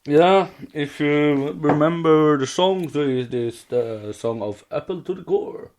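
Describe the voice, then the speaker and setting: A man with a Swedish accent, a native Swedish speaker, co-hosting a casual fan podcast in halting, loosely worded English. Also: a little high, background noise. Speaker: podcast co-host.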